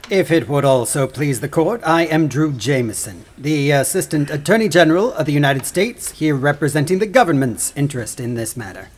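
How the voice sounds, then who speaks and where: A man's voice: a little high, animated, a little fast, hardly any pauses. A man acting as an officious, easily affronted government attorney in a courtroom audio drama, formal and emphatic.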